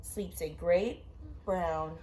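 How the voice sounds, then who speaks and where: A woman reading a children's story aloud with dramatic, drawn-out emphasis. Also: lowish voice, fairly even intonation, warm tone. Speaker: storybook reader. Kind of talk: expressive children's read-aloud.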